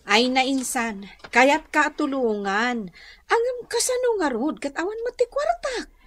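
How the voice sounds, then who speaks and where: Lively, high-voiced gossipy Filipino villager. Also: female voice, a little slow. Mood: reluctant, wary. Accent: Northern Luzon Filipino. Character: gossipy, opinionated village woman, practical cousin. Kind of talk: radio comedy drama acting.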